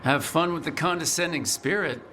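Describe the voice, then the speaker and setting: A man's voice: fairly high pitch, normal speed, crowd noise, lightly acted. An actor playing a direct, wry young male hero in a video game, in a conversational manner. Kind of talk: naturalistic video game acting. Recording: music behind the voice.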